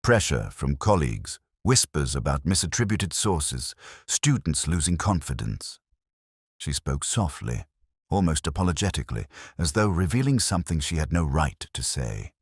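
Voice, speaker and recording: A man narrating a scripted first-person detective story in a measured, brooding, literary manner. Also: a bass voice, very animated, husky, no room sound, studio quality.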